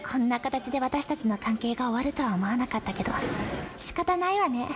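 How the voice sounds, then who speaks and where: A woman playing a hurt, bitter high school girl with prim, reproachful phrasing in a Japanese radio drama. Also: animated, a little fast, music in the background, natural voice. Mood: cold, final.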